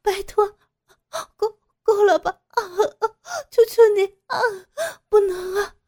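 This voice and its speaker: A woman voicing a frightened adult woman teacher who sobs and pleads in high, tearful, creaky cries. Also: fairly even intonation, slow, mellow. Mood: pleading, sobbing. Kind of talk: audio drama reading.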